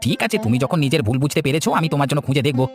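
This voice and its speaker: A man's voice: a little high, very fast, soft background music, lightly acted. A man voicing an easygoing, obliging village neighbour in a cartoon story, conversational and questioning. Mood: curious, obliging. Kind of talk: Bengali cartoon story voice acting.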